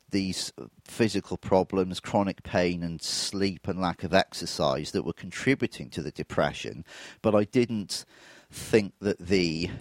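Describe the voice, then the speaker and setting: A man's voice: low voice, dry recording. A man in his forties hosting a solo podcast, reflective and candid, his voice throaty from a touch of flu. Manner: reflective, candid, self-deprecating. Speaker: podcast host.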